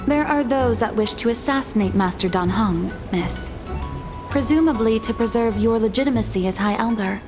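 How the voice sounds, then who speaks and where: A woman acts out a respectful attendant from a video game. Her voice is on the high side, and she speaks with polite, formal composure. Music is clearly present underneath. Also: normal speed, natural voice.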